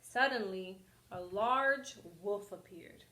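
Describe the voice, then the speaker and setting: A woman reading a children's story aloud as an expressive storyteller. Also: mid-range voice, slow pace, echoey room, rough recording.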